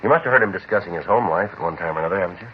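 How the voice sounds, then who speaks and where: Terse, businesslike radio cop, male actor: deep, rapid, clipped delivery, on a dull, hissy 1950s recording. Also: natural voice. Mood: brisk, questioning. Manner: clipped, matter-of-fact, polite. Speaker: detective narrator and lead. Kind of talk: 1950s radio drama, documentary-like scripted narration.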